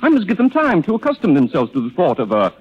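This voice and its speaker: Suave philanderer, wildly swooping delivery. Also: male voice, high voice, huge pitch swings, quick pace, full character voice. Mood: placating, self-satisfied. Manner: smooth-talking, hesitant, pompous. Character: suave evasive philandering gentleman, man of society. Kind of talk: 1930s radio drama acting. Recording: muffled old 1930s radio recording.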